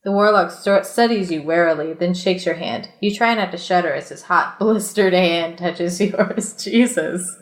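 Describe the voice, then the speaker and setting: A woman's voice: lowish voice, normal speed, hardly any pauses. A woman reading a story aloud in a casual, comedic way, giggly and irreverent, with sweary banter between passages.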